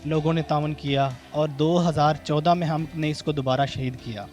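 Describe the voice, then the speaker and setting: A man's voice: fairly high pitch, fairly even intonation, moderate pace, a little mellow, soft background music. A man with a Pakistani Punjabi accent giving explanatory interview answers, going step by step and repeating phrases. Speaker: mosque organiser being interviewed. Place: on location at a mosque.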